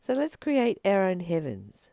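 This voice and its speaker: Slow talking woman doing spiritual reading n commentary, creaky voice, very dry close sound, earnest w pauses. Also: medium pitch, wildly swooping pitch, mellow, dry recording. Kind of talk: spiritual book reading with informal personal commentary.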